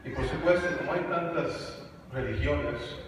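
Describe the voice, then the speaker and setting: A young man from Canada speaks to a congregation about faith, stumbling over words with frequent fillers and restarts. His voice carries from a distance in a big reverberant hall. Steady background noise hangs under it. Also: a little high, unhurried. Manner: hesitant, many fillers and restarts. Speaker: guest speaker on evangelism. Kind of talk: church testimony talk. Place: large church hall. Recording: echoey hall from far off, noisy.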